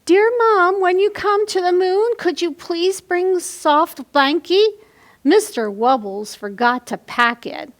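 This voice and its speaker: An imaginative little girl from a picture book, read aloud by a woman, writes letters to her mother. The voice is high and takes its time over each phrase. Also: takes it slowly. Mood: hopeful, asking.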